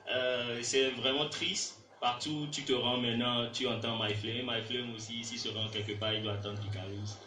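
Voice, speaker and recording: A man's voice: mid-range voice, level tone, a little slow, noisy background. A man with a Togolese French accent giving casual, colloquial answers as a guest rapper in a radio interview. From Togo. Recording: echoey room, distant mic, faint music.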